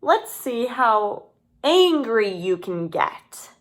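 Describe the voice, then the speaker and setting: Lady as a flattering con artist turkey in a storybook, high, takes its time. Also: very slow, heavily acted. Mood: flattering, persuasive.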